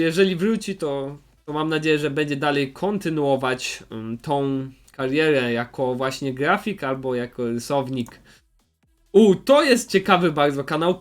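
Young man, high-pitched voice, casual unscripted commentary, hesitant, rambling, repeats himself. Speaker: YouTuber presenting recommended channels.

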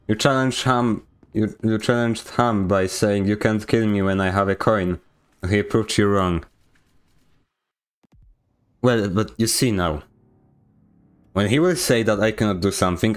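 A man's voice: fairly low pitch, unhurried, long pauses, clear, resonant tone. Joking Polish man streaming a game. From Poland. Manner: joking, sarcastic, mock-indignant. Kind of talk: casual gameplay commentary.

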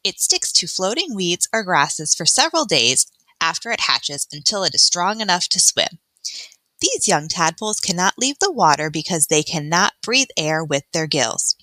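A woman teacher reading an educational book aloud for children, adding explanations in a clear, expressive, instructional way. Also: lowish voice, normal speed, dry recording, rough recording. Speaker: elementary school teacher.

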